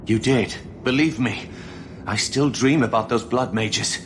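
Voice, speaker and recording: A man's voice: mid-range voice, a little slow, noisy background, close to an everyday voice. A man playing an anxious, earnest rebel conspirator in a video game drama, pleading and defensive. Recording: loud music behind the voice.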